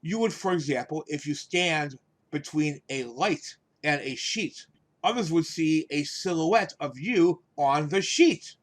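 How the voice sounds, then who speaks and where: A man giving an English vocabulary lesson, explaining words in a deliberate, instructive way with repeated phrases and simple examples. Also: on the high side. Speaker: English teacher, host of the lesson.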